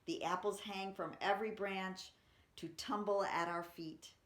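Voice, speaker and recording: A woman's voice: mid-range voice, fairly even intonation, unhurried, fairly bright tone. A woman reading poetry aloud for children and chatting between poems, explaining simply and reading expressively. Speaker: library story-time reader. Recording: roomy, echoey room sound.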